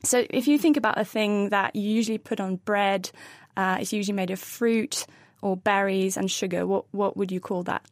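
A woman's voice: medium pitch, fairly even intonation, normal speed, no echo. Woman, radio science guest, patient step-by-step explainer, dry close studio sound. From Norway. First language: Norwegian. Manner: explains step by step, thoughtful, self-correcting. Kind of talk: casual radio science chat.

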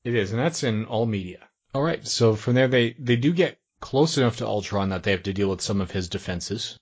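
A man co-hosting a podcast, talking casually and analytically in precisely worded, measured remarks. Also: on the low side, lively intonation, husky. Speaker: podcast co-host. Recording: dull, lo-fi audio.

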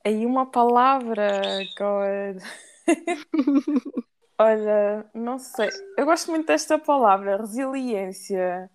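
A woman's voice: fairly high pitch, slow pace. A woman guest from Portugal with a European Portuguese accent, talking casually with slang and bits of English. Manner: slangy, mixes in English words. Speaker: podcast guest. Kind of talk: casual conversation.

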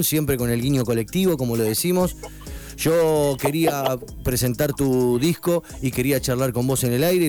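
A man's voice: mid-range voice, a little mellow. Effusive Argentine male radio host, music behind. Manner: effusive, informal, with emphatic repetition. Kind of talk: conversational radio interview. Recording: music in the background.

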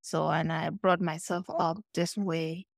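A middle-aged woman with a Cameroonian accent tells personal stories in a lively, animated way, slipping into the voices of the people she quotes. Her voice sits on the low side. The sound is dry and close, with no room echo. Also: measured pace, vocal fry. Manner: animated storytelling, acts out quoted dialogue. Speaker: guest. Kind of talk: conversational interview.

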